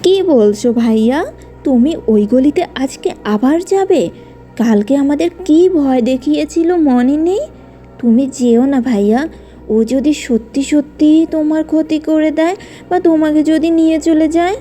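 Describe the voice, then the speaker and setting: Woman as an anxious high-voiced little sister. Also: unhurried, warm tone, faint music behind. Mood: worried, pleading. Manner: anxious, pleading. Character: frightened, worried young sister in a cartoon. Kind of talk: children's cartoon acting.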